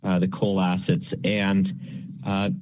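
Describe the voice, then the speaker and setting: A man's voice: low voice, monotone, slow, highs cut off. A man posing a courteous analyst question on an earnings call, very hesitantly, repeating small words as he goes. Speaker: financial analyst asking questions.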